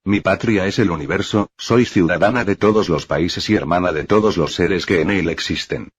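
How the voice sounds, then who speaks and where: A man reading the lines of a gentle female alien healer, speaking softly and in a measured, kindly way. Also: low voice, husky, no room sound. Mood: serene. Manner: soft, measured, kindly speech. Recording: dull lo-fi dry recording.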